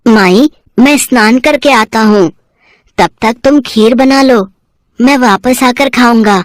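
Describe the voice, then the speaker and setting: A woman voicing a calm, sweet boy god in a devotional cartoon story, gently insistent and respectful. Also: a little high, takes it slowly, no room sound, clean studio sound. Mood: gently instructing.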